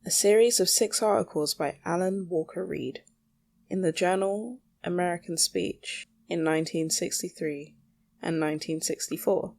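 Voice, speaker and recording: A woman's voice: on the low side, normal speed, gravelly, a little mellow. A woman rambling casually as a solo podcast host, with a self-deprecating, mildly sweary way of talking.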